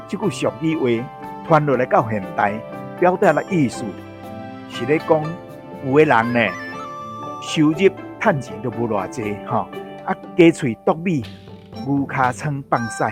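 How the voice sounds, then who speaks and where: A man explains things in a folksy, conversational way as a culture expert. He has a mid-pitched voice and a Taiwanese accent, dropping in frequent interjections, with music playing clearly behind him.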